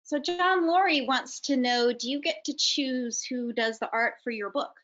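A woman's voice: high-pitched, moderate pace, fairly bright tone. A middle-aged woman hosting casually and relaying audience questions over a video call. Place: indoor room on a video call. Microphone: computer video call. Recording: dull, lo-fi video call audio.

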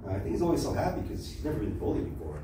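A man's voice: mid-range voice, very animated, racing. A middle-aged man with an American accent performing stand-up comedy casually on a small club stage, peppering it with "you know.". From Los Angeles, USA. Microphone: handheld mic, heard through distant camera. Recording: echoey, noisy club room from far off.

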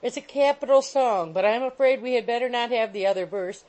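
A woman voicing a wretched, anxious young gentleman who speaks meekly, politely and apologetically in a storybook. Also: fairly high pitch, a little fast, voice pushed up, lightly acted, rough recording. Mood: anxious, placating. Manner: meek, pleading, polite.

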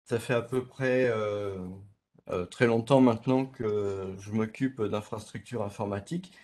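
A man's voice: fairly low pitch, unhurried, darkish tone. A middle-aged man introducing himself off the cuff on a video call panel, hesitant and repeating himself. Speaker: panelist, IT infrastructure specialist. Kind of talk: unscripted self-introduction on a panel.

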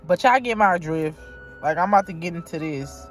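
A woman vlogging from inside her car, reading aloud haltingly and chatting straight to her viewers. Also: fairly low pitch, expressive, faint music behind. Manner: halting read-aloud, stumbles and restarts; chatty, talks directly to viewers. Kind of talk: casual vlog, reading aloud.

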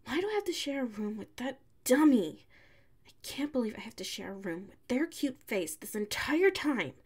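A woman voicing a prickly, haughty young tsundere classmate with a hidden soft side, in an ASMR audio roleplay. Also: on the high side, very animated, slightly rough. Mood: exasperated. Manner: haughty, huffy, sighing, drawn-out phrasing.

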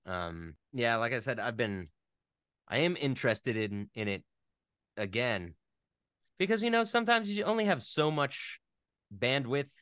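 A man speaking casually as an interview guest, rambling along with animated, geeky enthusiasm. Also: mid-range voice, measured pace, long pauses, clear, resonant tone, dry recording, clean studio sound.

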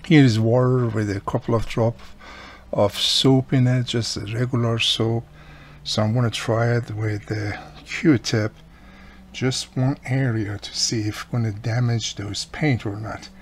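A man at his workbench walks the viewer through a repair, patient and methodical, his phrasing a little non-native. His voice sits at a middle pitch. A faint hum runs under the overhead mic. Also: fairly even intonation, a little slow. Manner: patient, step-by-step explaining. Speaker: radio repair technician. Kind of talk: hands-on repair tutorial. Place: workbench, indoors.

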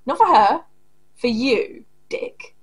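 Woman, 30s, higher voice, home-desk reaction host; sarcastic, sweary, blunt. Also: expressive, takes it slowly, husky. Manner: angry, profane, blunt and sarcastic. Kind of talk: casual reaction commentary. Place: small home room indoors.

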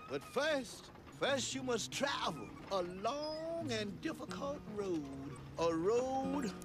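A man playing a solemn, blind old railroad prophet who speaks slowly, heard in a film clip with music behind him. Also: very high-pitched, sing-song, full character voice. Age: older.

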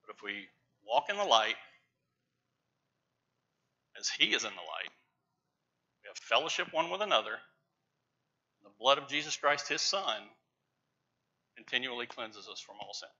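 A middle-aged man preaching from a church pulpit, stressing key words with emphatic, rising loudness. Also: medium pitch, lively intonation, a little slow, pauses often, slightly rough. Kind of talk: sermon. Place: large church sanctuary with pews. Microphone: lapel mic, far camera. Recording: dull church livestream audio.

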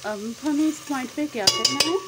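A woman's voice: high-pitched, moderate pace. Woman walking viewers through a recipe. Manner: friendly, addresses viewers directly, mixes in English words. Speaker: cooking channel host. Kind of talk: step-by-step recipe narration. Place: home kitchen. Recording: noisy phone recording over sizzling pan. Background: frying and sizzling.

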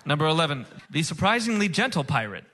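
A man's voice: fairly high pitch, very animated, fast, very noisy. A man hosting a comedy game show on stage, with a showy, emphatic announcer delivery. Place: stage before a live audience.

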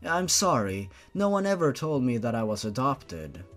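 A man's voice: medium pitch, very animated, moderate pace, a bit bright, soft background music. A man voicing a defiant young woman, a blunt and guarded heroine in a story reading.

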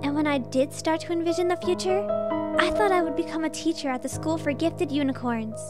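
A woman voicing an earnest, anxious young pony princess in an animated comic dub, with emotional delivery. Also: sits high, brisk, over loud music, close to an everyday voice. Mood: wistful, anxious.

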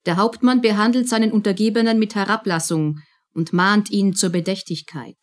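Composed female narrator, medium pitch. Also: fairly even intonation. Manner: measured, composed. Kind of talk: scripted narration.

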